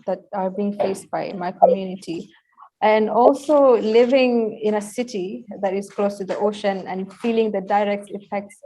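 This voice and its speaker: Earnest woman, mellow voice. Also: medium pitch, rough recording. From Kenya. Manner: earnest, many fillers and repeated words. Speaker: panelist, climate activist. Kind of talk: panel discussion answer.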